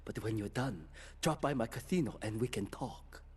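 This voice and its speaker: A man's voice: on the low side, moderate pace, slight background noise, close to an everyday voice. An actor playing a curt male Yakuza casino boss in a video game cutscene.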